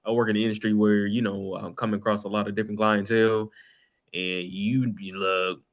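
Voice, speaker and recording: A man's voice: lowish voice, little pitch movement, brisk. A man talking casually on a podcast in slangy speech, repeating words for emphasis.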